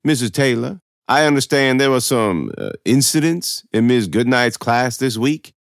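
A man voicing a domineering, irritable school official in an audiobook story, pompous and halting. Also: medium pitch, animated, creaky, no room sound, clean studio sound, pitched above the performer's own voice. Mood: pompous, accusing. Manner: pompous, barking, halting with uhs and grunts.

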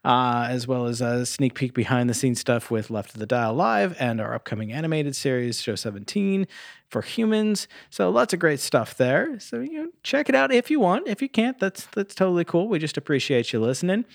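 A man's voice: fairly high pitch, expressive, moderate pace, gravelly, no room sound, clean studio sound. A man co-hosting a podcast in casual chat, laid-back, self-deprecating and happy to swear. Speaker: podcast co-host.

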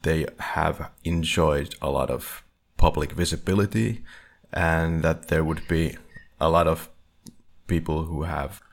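Male co-host, bottom-register voice with heavy fry. Casual, halting, hedging analysis. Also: very deep voice, steady tone. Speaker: podcast co-host.

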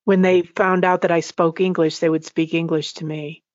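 A woman's voice: lowish voice, level tone, moderate pace, darkish tone, dry recording, highs cut off. A woman interviewing her guest in a casual, chatty and personal way.

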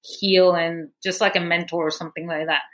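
Woman guest from Guatemala, lower register, candid storyteller. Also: little pitch movement, fairly quick, fairly bright tone. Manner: candid, with frequent fillers. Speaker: guest, movement founder. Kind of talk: personal story interview.

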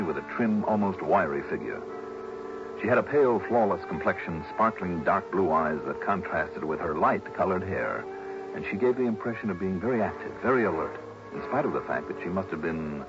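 An actor playing a wry, wary American investigator in an old radio drama, talking in a folksy, emphatic, conversational way. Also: male voice, low-pitched, lively intonation, normal speed. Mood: narrating. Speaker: lead actor and narrator. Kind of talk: 1950s-60s American radio detective acting, first-person narration and dialogue. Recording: dull vintage radio recording with music.

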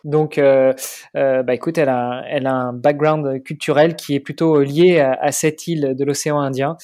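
A man in his forties, a guest on an interview podcast, talking in a measured way with many hesitations. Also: medium pitch, flat delivery, a little mellow. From France. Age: 40s. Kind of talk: casual interview chat.

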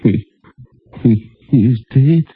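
An actor playing a distraught Mexican man in 1950s radio drama, stammering anxiously in halting English. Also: mid-range voice, slightly rough, no room sound. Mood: anxious grief. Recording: muffled old radio recording.

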